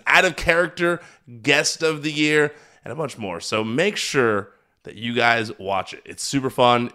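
A man hosting a wrestling podcast, talking in an energetic, hyped-up, chatty way while recovering from being sick. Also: medium pitch, clear, resonant tone. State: recovering from illness. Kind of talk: casual podcast recap.

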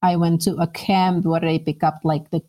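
A woman's voice: lowish voice, level tone, moderate pace, mellow. A middle-aged woman from the Czech Republic with a Czech accent, sharing personal recollections conversationally as an interview guest.

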